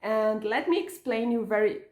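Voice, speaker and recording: A woman from Portugal, a native Portuguese speaker with a Portuguese accent, chatting about knitting in a casual craft vlog, halting and restarting words as she searches for English. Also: a little high, animated, a little mellow, reverberant. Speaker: knitting podcaster and yarn shop owner.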